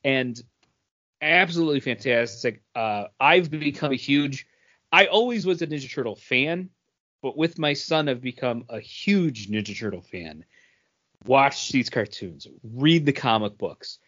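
A man co-hosting a podcast, talking casually and earnestly as a fan, with pauses and emphatic praise. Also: mid-range voice, lively intonation, bright, forceful tone, lo-fi sound. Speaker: podcast co-host. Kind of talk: casual geek-culture panel chat.

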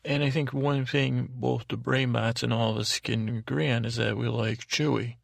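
A male podcast host with a creaky, gravelly voice, rambling haltingly through a whimsical, self-deprecating bedtime monologue in a deliberately dull drone. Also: medium pitch, fairly even intonation, dry recording.

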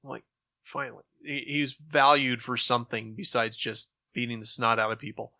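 A man talks casually as a podcast co-host, his voice bright and crisp-edged in a dry, close space. He reviews stories in slangy language and slips into imagined reactions to make his points. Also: medium pitch, fairly even intonation, moderate pace, no room sound. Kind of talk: casual review chat about old-time radio.

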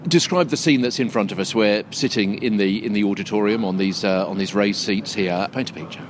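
A man's voice: on the low side, brisk. A man hosting a podcast, interviewing and describing the scene in a chatty, informal way, recorded on location with some background noise. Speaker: podcast host. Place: inside a big top tent in a park.

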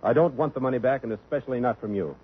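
A man's voice: mid-range voice, racing, breathy edge, lightly acted. An actor playing a blunt, sardonic young man in a 1950s radio drama. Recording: dull old radio broadcast, faint hiss.

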